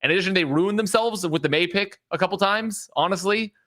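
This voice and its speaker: A man on a podcast panel giving casual, animated match analysis in a wry, stop-and-start way. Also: high voice, a little fast.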